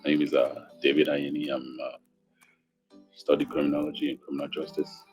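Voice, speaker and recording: A man's voice: a bass voice, little pitch movement, normal speed, a little mellow, breathy edge, highs cut off, soft background music. A young man with a Nigerian accent giving an unscripted student testimonial. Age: young adult. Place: indoor lounge.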